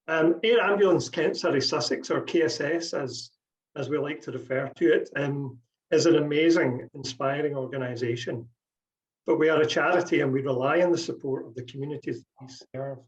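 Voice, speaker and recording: A man's voice: medium pitch, darkish tone. A man with a Scottish accent speaking earnestly in a webinar about charity fundraising, with frequent ums and repeated words. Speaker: charity fundraising director on a panel. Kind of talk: prepared webinar presentation. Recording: dull sound from a distant mic.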